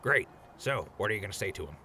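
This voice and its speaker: A man's voice: lowish voice, animated, fast talker, bright, forceful tone, slight background noise. A man playing a wry, needling but sensible ghoul in a post-apocalyptic audio drama, casual and sardonic. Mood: exasperated, coaxing.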